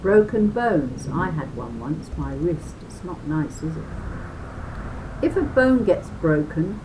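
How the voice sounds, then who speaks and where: Older woman, slow instructive children's read-aloud, chatty, noisy home recording with rumble. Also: sing-song. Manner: deliberate, instructive, speaking as if to children. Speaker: reader of a children's health booklet.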